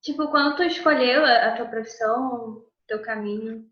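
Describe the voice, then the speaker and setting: Higher-voiced woman asking casual questions. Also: normal speed. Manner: informal, uses "tu" forms. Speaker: interviewer. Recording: echoey room, muffled audio.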